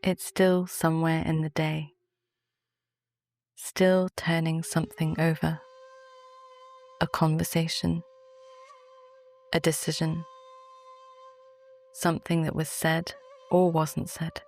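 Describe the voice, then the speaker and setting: A woman with a British accent gently narrates a guided sleep meditation in a soft, calm, soothing voice. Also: low-pitched, slow, long pauses, soft background music.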